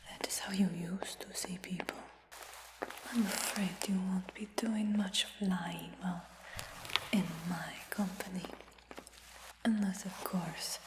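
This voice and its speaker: A woman whispering softly in a fantasy roleplay, speaking gently to the listener. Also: on the low side, noisy background. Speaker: ASMR roleplay creator. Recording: roomy whisper with long reverb.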